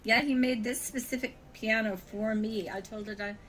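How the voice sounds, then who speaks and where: A woman's voice: fairly high pitch, fairly even intonation, fairly quick, slight background noise. A woman from California with an American accent, chatting informally and chuckling as she gives a tour of a world she built. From California, USA. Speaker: virtual world builder giving a tour. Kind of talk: casual tour chat.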